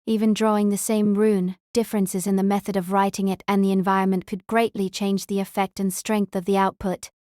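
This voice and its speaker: A woman reading a novel as audiobook narrator, in a measured, literary storytelling manner. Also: medium pitch, level tone, darkish tone, dry recording, studio quality.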